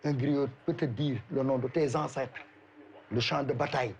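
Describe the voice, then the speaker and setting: A man from Senegal, a griot, explaining traditions in a measured storytelling manner. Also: medium pitch, steady tone, creaky, darkish tone, dull audio, slight background noise. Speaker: griot interviewee.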